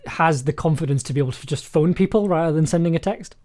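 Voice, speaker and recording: A writer livestreaming their work, thinking aloud casually in halting mutters with bursts of jokey, animated asides. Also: medium pitch. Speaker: audio drama writer, livestream host. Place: indoors at a desk, livestreaming. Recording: clear keyboard typing and clicking noise. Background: computer keyboard typing, clicks.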